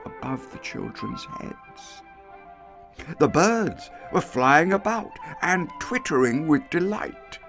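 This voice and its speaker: A man giving an expressive dramatic reading of a classic tale, lingering over words and dropping to hushed asides. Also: on the high side. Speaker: storyteller. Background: soft music underscore.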